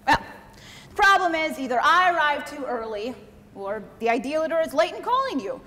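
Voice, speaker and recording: A woman's voice: high-pitched, very animated, moderate pace, pushed and bright, heavily acted. A woman playing an officious, exasperated idea come to life, quoting rules in an indignant, theatrical way in a comic stage play. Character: personified idea, officious, exasperated, rule-quoting female messenger. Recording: echoey stage hall, clear background noise.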